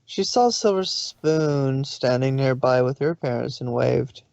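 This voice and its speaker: A woman narrating fan fiction as an expressive story reading. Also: rumbling low, unhurried, vocal fry, no echo. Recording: slightly dull home recording.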